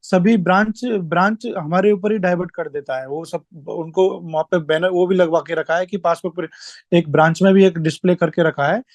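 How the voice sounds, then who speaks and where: Deferential man, higher-pitched, close dry sound. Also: fairly even intonation, normal speed, no echo. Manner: deferential, stumbling over words. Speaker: regional staff member reporting. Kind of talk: explaining work practice on a conference call. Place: online conference call.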